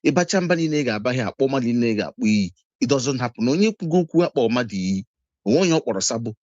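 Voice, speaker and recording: A man's voice: medium pitch, lively intonation, quick pace, darkish tone, dry recording, highs cut off. A man calling in to a talk show, switching back and forth between Igbo and English. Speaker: caller.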